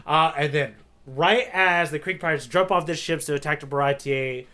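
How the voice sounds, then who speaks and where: A man hosting a podcast, casually recapping a story in an animated, loosely acted retelling. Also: a little high, moderate pace. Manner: animated retelling, acts out characters' lines loosely. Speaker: podcast host. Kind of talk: casual manga recap chat.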